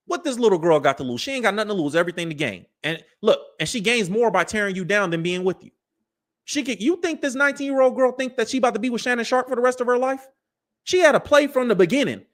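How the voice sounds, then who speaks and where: A man's voice: on the high side, a bit bright. A man in his thirties, hosting a commentary show, lecturing his listeners in a preachy, slang-filled way. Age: 30s. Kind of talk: animated live commentary.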